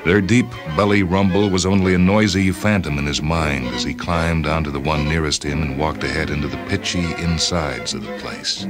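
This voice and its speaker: A man's voice: extremely low pitch, steady tone, normal speed, few pauses. A man hosting and reading short stories as scripted literary narration. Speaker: host and story reader. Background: music and sound cues.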